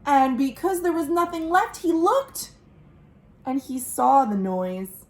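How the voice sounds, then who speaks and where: Woman storytime reader, high lilting voice, unhurried, dramatic pauses, questions for little listeners, echoing room. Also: lively intonation. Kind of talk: expressive picture-book read-aloud for young children. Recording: roomy, echoing indoor sound.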